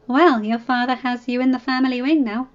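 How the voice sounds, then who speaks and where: A woman's voice: high voice, fairly even intonation, a little fast, voice pushed up, natural voice. A woman voicing a bold, teasing young princess who talks in a light, playful, raised voice, in a dull lo-fi recording with faint music.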